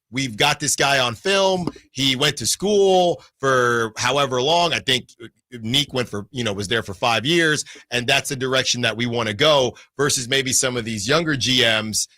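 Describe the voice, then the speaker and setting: Man in his 40s, sports radio host, bright tone, dry studio sound, rambling and animated delivery. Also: medium pitch, normal speed, no room sound. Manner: animated, rambling, lots of restarts. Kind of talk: sports talk radio discussion.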